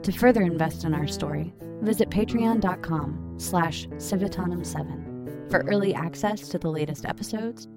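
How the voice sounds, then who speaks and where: A mid-to-low pitched woman announcer reads scripted credits. Plucked guitar music plays clearly behind her. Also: normal speed. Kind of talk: scripted narration.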